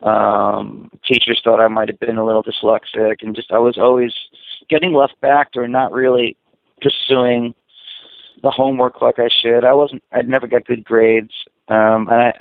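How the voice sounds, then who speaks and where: Gravelly man on a tinny phone line. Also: medium pitch, little pitch movement, normal speed, no echo. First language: Greek. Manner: reflective, loose and searching, with restarts. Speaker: musician guest. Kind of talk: casual interview answers.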